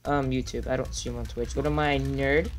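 A young woman chatting casually with a friend while livestreaming a game, playful and quick to react. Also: very deep voice, steady tone, music in the background. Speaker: streamer playing a game. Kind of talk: casual gaming chat.

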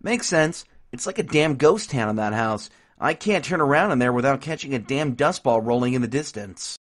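A man's voice: medium pitch, animated, moderate pace, lightly acted. A man playing a wry, easygoing young college student in casual audio drama dialogue. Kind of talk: casual conversational drama acting.

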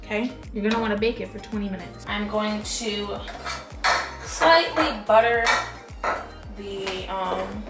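A woman's voice: medium pitch, measured pace. A young adult woman talking in a casual, rambling cooking-vlog style, with lots of informal filler phrases like a chat with friends. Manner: informal, rambling, frequent "like" and "you know". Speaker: home cooking vlogger. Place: home kitchen, indoors. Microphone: camera across the table. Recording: roomy, distant camera mic, background music.

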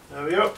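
A man's voice: distant mic, noisy background. An older man talks casually to the camera while he works on a car in his home garage, muttering asides about nuts, bolts and spanners. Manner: mutters while working, plain chatty asides. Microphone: camera mic from a distance. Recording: echoey garage from off-mic.

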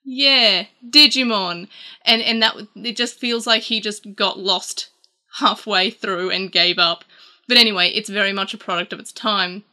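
A woman's voice: mid-range voice, a little slow, pushed and bright. A young adult Australian woman hosting a casual video chat about old ads, rambling and chatty with lots of fillers, at her desk in a small room. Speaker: YouTube host.

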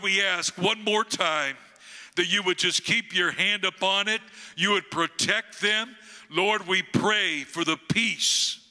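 An older man in his sixties from the United States, a guest pastor with a booming voice, preaching and praying slowly into a handheld microphone on a church stage. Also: bright, forceful tone. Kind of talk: sermon and prayer. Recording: long hall reverb, faint music behind.

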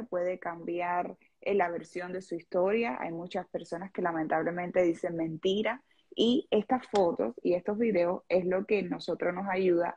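A woman's voice: lowish voice, darkish tone, slightly rough, muffled recording. A woman in her thirties with a Cuban-tinged Miami Spanish accent, a lawyer explaining things earnestly, step by step, as a radio guest. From Venezuela. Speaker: personal injury lawyer.

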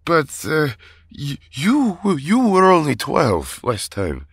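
A gentle, bewildered young giant, voiced by a man, husky and gravelly. His pitch swings widely while his speech stays slow and halting. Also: wildly swooping pitch, no echo, studio quality, exaggerated voice. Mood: anxious.

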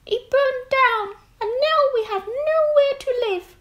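A woman voicing a squeaky, anxious mother mouse in a storybook reading. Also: unhurried, echoey room, muffled recording.

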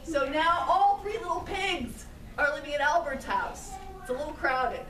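A woman's voice: sits high, moderate pace, a bit bright. A middle-aged woman telling a story to young children in simple phrases, often putting questions to them. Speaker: storyteller and puppeteer. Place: daycare classroom, indoors. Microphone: camera mic at some distance. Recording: echoey noisy room, distant camera mic.

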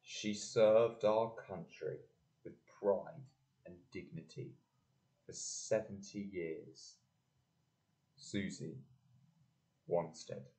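A man's voice: sits low, drawn-out words, pauses often, mellow, heavily acted, rough recording. A man giving a solemn reading in an amateur audio play.

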